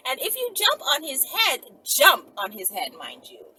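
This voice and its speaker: A woman's voice: high voice, huge pitch swings, moderate pace, pushed and bright, a little hiss or hum. A woman host talking casually to her viewers, sassy and digressive, interjecting comments as she reads out messages. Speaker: host of her own video channel.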